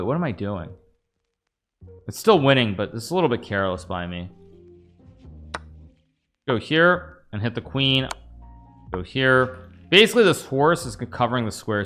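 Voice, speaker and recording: A man's voice: fairly low pitch, pushed and bright. An American man in his thirties, streaming a chess game, thinking aloud and answering chat questions in a casual, rambling way. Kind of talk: casual live-stream commentary.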